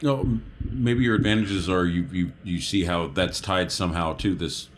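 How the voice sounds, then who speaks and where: A man playing a tabletop roleplaying game, chatting casually and haltingly as he thinks aloud. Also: low voice, a little hiss or hum.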